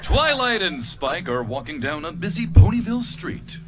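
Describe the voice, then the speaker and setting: A man's voice: sits high, very animated, moderate pace. A man reading stage directions as the narrator of a live cartoon script reading. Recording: live reading with music behind.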